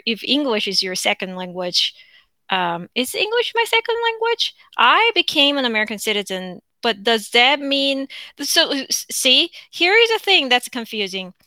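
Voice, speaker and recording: A woman's voice: mid-range voice, bright, forceful tone, no room sound. A Korean woman hosting her own podcast, talking in a rambling, chatty way with plenty of fillers and restarts, with a Korean accent. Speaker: podcast host. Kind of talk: casual unscripted monologue.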